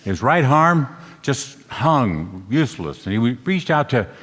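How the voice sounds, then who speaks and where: An actor playing a cool, tough private detective in a stage radio play, wry and quick-witted with clipped delivery. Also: male voice, medium pitch, very animated, fairly bright tone, full character voice. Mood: narrating. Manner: hard-boiled, clipped orders, wry. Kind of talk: radio play with first-person narration. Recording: echoey stage hall recording.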